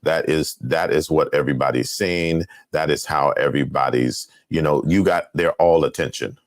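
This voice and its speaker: A man with an extremely deep, low voice hosts the conversation. He meanders through his questions with plenty of "you know"s. The sound is close and dry, with almost no room. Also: moderate pace, dry recording. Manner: rambling, filler-heavy questions. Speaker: podcast host. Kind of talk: casual interview.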